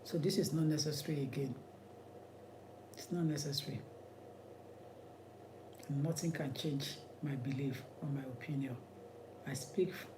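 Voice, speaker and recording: A man's voice: a little high, noisy background. A man with a Nigerian accent, an immigration lawyer giving impassioned commentary in an emphatic, repetitive, preacherly way.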